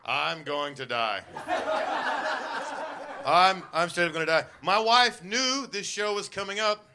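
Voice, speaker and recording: A man's voice: sits high, a little slow, very noisy. A man with a wife and kids doing a self-deprecating stand-up set on a live stage. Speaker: stand-up comedian.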